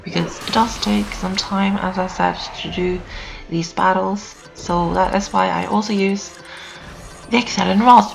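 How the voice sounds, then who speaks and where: A teenage girl doing casual gameplay commentary, hoarse from just waking up, chatty and repetitive with lots of fillers. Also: on the low side, low-quality recording. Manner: chatty, repeats herself, lots of "um" and "so". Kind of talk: casual let's-play commentary. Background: builders' noise outside.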